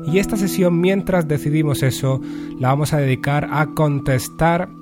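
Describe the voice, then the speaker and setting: A man from Andalusia hosting a solo podcast, speaking with a neutral Castilian Spanish accent that softens his Andalusian one, in a measured, articulate way with careful diction. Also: fairly high pitch, music in the background. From Andalusia, Spain. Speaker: podcast host. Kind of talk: solo podcast monologue answering listener questions.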